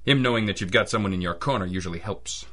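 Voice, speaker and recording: Actor as hard-boiled gumshoe, very low voice with a hard bright edge, wisecracking, radio noir. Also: male voice, fast. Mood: businesslike. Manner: tough, wry, gruffly reassuring. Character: hard-boiled, wisecracking male private eye. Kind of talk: old-time radio detective drama acting and narration.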